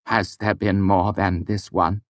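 A man's voice: low-pitched, little pitch movement, a little slow, no echo, pitched above the performer's own voice. A man voicing a stern, commanding male mayor who barks his orders. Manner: barking, stern.

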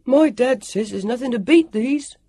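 A woman voicing an excitable, boastful young boy in an audiobook, calling out loud and eager. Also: high-pitched, moderate pace, vocal fry, pitched above the performer's own voice, exaggerated voice. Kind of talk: audiobook character voice.

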